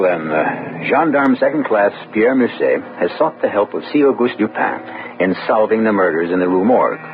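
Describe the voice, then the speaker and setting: Low-voiced male radio drama host. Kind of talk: scripted radio narration. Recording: muffled old radio broadcast. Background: music.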